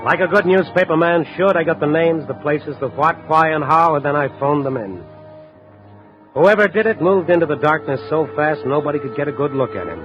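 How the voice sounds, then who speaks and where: A male actor plays a world-weary American newspaper reporter narrating a 1950s radio drama. His voice sits slightly high for a man, reflective and hardboiled. The old recording is dull, with music behind him. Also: a little fast, close to an everyday voice. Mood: wry, somber. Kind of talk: 1950s radio drama acting, first-person noir narration.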